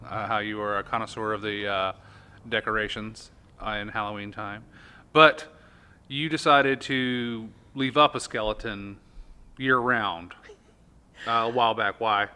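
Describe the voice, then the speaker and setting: Bright-voiced American man hosting a podcast. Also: lowish voice, fairly even intonation, measured pace, clear, resonant tone. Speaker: podcast host.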